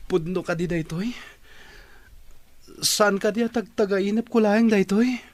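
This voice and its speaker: A man's voice: high-pitched, unhurried. A man playing a respectful young driver in an Ilocano radio drama. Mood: stunned.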